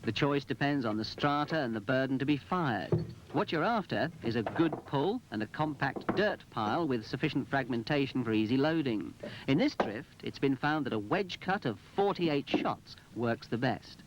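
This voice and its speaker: A man's voice: medium pitch, expressive, normal speed. A man presenting a scripted instructional film as its narrator, in an emphatic, instructive manner. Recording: muffled, noisy 1980s film soundtrack.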